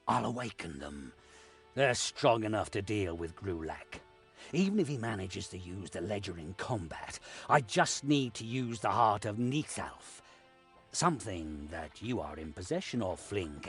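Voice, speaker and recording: A man playing a shrewd, commanding war leader in a fantasy game, measured and authoritative. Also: deep voice, expressive, faint music behind. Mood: calm command.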